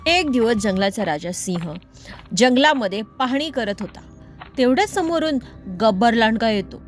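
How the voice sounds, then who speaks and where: A woman narrates a children's story. Her voice sits fairly high, and soft music plays behind her. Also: animated, moderate pace.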